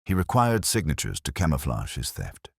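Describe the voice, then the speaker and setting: A man voicing a commanding, shrewd detective in an audiobook, speaking in deliberate, authoritative statements. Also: rumbling low, huge pitch swings, creaky, slightly rough, no echo, clean studio sound, full character voice. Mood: accusing, forceful.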